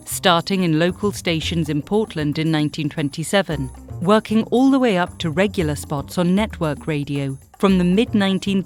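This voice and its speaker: A woman hosting a documentary series, reading scripted narration. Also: fairly low pitch.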